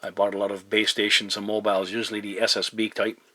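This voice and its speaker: A middle-aged man with a lowish voice speaks as a CB radio operator. He is courteous and measured, pausing to find his phrasing as he chats in radio jargon. The sound is close and dry. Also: level tone, sharp tone, dry recording. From Newfoundland, Canada. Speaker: amateur CB radio operator hosting a video contact.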